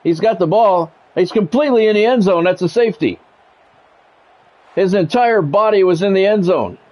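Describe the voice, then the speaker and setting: A man's voice: high-pitched, animated, normal speed, a little mellow, poor audio. A middle-aged man doing live football game commentary mixed with casual chat, talking in a blunt, profane, conversational way. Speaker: game streamer and play-by-play commentator.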